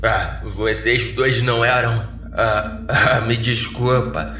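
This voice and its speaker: A man's voice: mid-range voice, steady tone, slow pace, lightly acted. A Brazilian man voicing a crass, paranoid, blundering male buddy in an audio horror story, blunt and slangy. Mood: sheepish. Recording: roomy echo, faint music behind.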